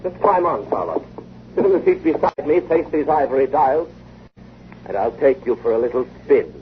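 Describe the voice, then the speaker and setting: A dull, slightly hissy vintage radio recording carries a man acting as an eager inventor. His voice sits at an ordinary male pitch. He speaks with persuasive assurance and firm emphasis, in the style of 1950 radio drama. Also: lively intonation, normal speed, lightly acted. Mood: brisk, assured. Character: confident, eager male inventor of a time machine. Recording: muffled, hissy old radio broadcast.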